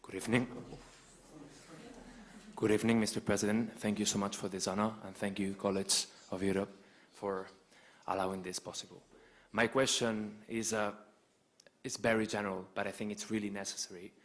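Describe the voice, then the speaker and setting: A young man from Spain, asking a polite, earnest question as a student, with halting restarts. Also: fairly low pitch, level tone. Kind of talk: audience question at a lecture Q&A.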